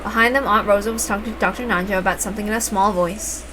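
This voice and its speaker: A woman narrating a visual novel aloud in an expressive storytelling style. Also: mid-range voice, quick pace, background noise. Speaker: reader, narrator.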